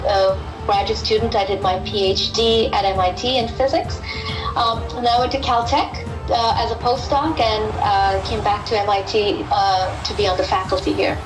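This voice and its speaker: A woman's voice: mid-range voice, fairly even intonation, normal speed, over music. Thoughtful woman in an echoey room. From Pakistan. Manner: thoughtful, repeats words while forming ideas. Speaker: physicist interviewee. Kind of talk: explanatory interview answers.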